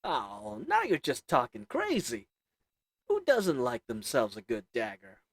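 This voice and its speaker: A man's voice: medium pitch, huge pitch swings, measured pace, fairly bright tone, husky, dry recording, heavily acted. A man voicing a sly little old goblin merchant who sells shady goods, talking in a wheedling folksy drawl. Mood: sly salesman.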